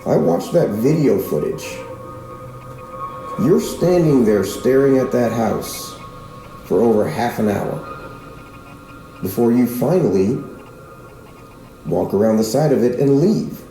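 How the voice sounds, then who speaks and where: Gravelly, suspicious small-town lawman, played by a man. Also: mid-range voice, animated, mellow. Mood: pressing, suspicious. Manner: deliberate interrogation with pointed questions and pauses. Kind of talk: fiction podcast drama acting. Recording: roomy echo with music underneath.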